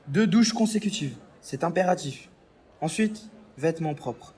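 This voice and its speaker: A man's voice: a little high, animated, unhurried, a little mellow, breathy edge, exaggerated voice. A man playing a concerned, pragmatic doctor in a French audio drama. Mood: instructing. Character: concerned, pragmatic male doctor among camp survivors.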